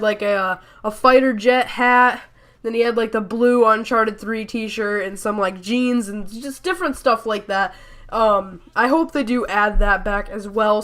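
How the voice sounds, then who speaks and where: A young woman with a higher-pitched voice, stuffed up from a cold, talks through a casual gaming countdown. She rambles, corrects herself mid-sentence and leans on fillers like "um" and "like.". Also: a bit bright. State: stuffy nose, sore throat. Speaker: gaming YouTuber. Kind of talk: casual voice-over commentary.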